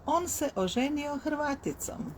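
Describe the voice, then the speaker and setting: A woman's voice: high voice, animated, measured pace, slight background noise. A woman language teacher answering questions in simple, patient Croatian that learners can follow.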